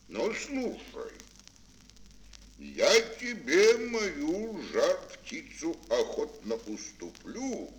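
A man playing a stern, haughty tsar with a deliberate, imperious manner in a children's fairy-tale play. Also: fairly high pitch, huge pitch swings, heavily acted, rough recording. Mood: imperious, reproving. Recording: old mono record with faint hiss.

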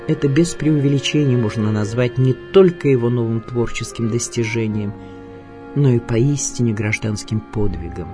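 Male radio narrator, mellow tone, measured declamatory documentary read, faint music underneath. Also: medium pitch.

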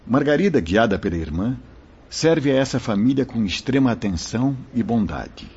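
An actor playing a solemn, benevolent spirit brother telling a life story in a radio drama, with a raspy voice. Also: male voice, mid-range voice, very animated, moderate pace, a little mellow. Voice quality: husky, raspy. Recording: dull lo-fi radio drama recording.

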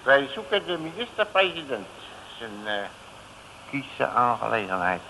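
An actor playing a cocky, slangy working-class detective in a 1950s Dutch radio comedy serial. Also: male voice, medium pitch, very animated, unhurried, vocal fry. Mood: self-important. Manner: slangy, blunt, self-assured. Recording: noisy old radio recording.

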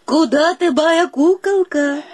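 A woman's voice: sits high, slow pace, a little mellow. An actress playing a domineering, scornful, possessive woman who nags theatrically in a radio play. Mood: scornful, demanding. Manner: indignant, nagging, theatrical. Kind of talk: theatrical radio drama acting.

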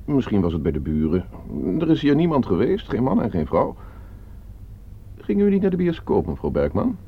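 Cold polite schemer, husky low voice, precise phrasing, radio play, slightly dull recording. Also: male voice, huge pitch swings. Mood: defensive, evasive. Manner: measured, precise, instructing, polite. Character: cool, scheming nephew hiring a killer for inheritance. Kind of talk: radio drama acting.

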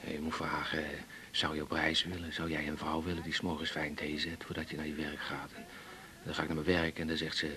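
An actor playing a touchy, pleading down-and-out patient who rambles insistently in a naturalistic radio drama. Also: male voice, rumbling low, completely flat delivery, brisk, bright, forceful tone. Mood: sulky, wistful. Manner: rambling, insistent, jumping between grievances.

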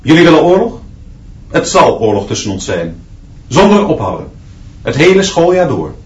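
An actor playing a stern, combative new schoolteacher in a radio play, speaking in a formal, clipped, declamatory way. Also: male voice, on the high side, very animated, normal speed, lo-fi sound. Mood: defiant, stern. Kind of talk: Dutch radio play acting. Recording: echoey room, distant from mic.

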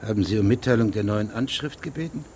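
An actor playing a formal, persistent investigating judge who questions witnesses precisely in a German radio drama. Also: male voice, on the low side, fast talker, darkish tone, a little hiss or hum, lightly acted. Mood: critical, insistent.

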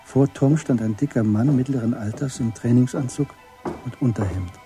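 A man narrating a scripted radio drama in a measured, matter-of-fact way. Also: mid-range voice, music in the background.

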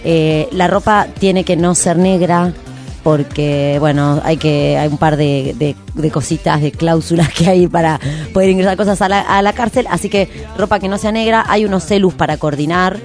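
A low-pitched Argentine woman hosts a casual radio show. She reads out notices informally, pausing to find her words, over clearly audible music. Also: fairly even intonation, darkish tone. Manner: informal, hesitant list-making. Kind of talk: casual live radio announcements.

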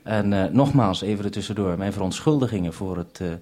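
Male radio maker, lowish husky voice, halting but reassuring delivery in two-way radio exchanges. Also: expressive, darkish tone. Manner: hesitant, reassuring. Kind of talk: two-way radio conversation for broadcast. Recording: 1971 radio broadcast recording.

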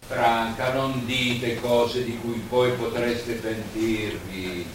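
Idealistic, cautious doctor, soft-spoken and unhurried, radio drama, echoey noisy recording. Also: male voice, medium pitch, little pitch movement. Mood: consoling, earnest. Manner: soft-spoken, measured, conciliatory. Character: gentle, cautious idealistic doctor in fascist-era Italy. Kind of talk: stage-style radio play acting. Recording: echoey, distant, noisy room.

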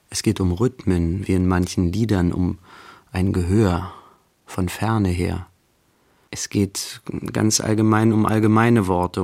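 A man playing a wry, measured storyteller, narrating a radio play in rhythmic, deliberate phrases. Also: fairly low pitch, creaky. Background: quiet guitar music.

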